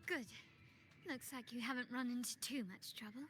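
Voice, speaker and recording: A woman's voice: on the high side, steady tone, moderate pace, a bit bright, natural voice. A woman voicing a proud, poised noblewoman. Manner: poised, confident, faintly haughty. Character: proud noblewoman of a powerful family. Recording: game audio with music behind.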